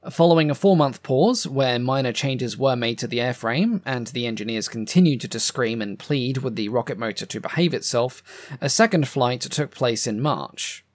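A man hosts his own aviation history channel, reading a scripted narration in a measured, earnest tone. His voice sits at an ordinary middle pitch and sounds a little strained and uneven, as if he has been losing it. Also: animated. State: voice a bit up and down from losing it.

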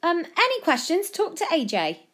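A woman's voice: very high-pitched, huge pitch swings, moderate pace, fairly bright tone, voice pushed up, heavily acted. A woman voicing an awkward, bashful schoolboy in a children's story, hesitant and flustered. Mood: flustered, relieved. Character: awkward, bashful British schoolboy, reluctant celebrity.

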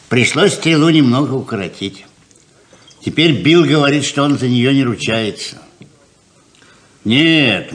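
A man's voice: mid-range voice, natural voice. Man playing easygoing old-time ranch father, swooping intonation. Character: easygoing ranch father, tolerant and trusting dreamer. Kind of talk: 1970s Soviet radio play acting.

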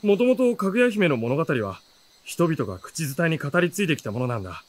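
A man playing an easygoing, teasing young traveler in a Japanese historical fantasy radio drama, with a relaxed, bantering manner. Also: a little high, a little fast. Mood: curious, friendly. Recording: music under the voice.

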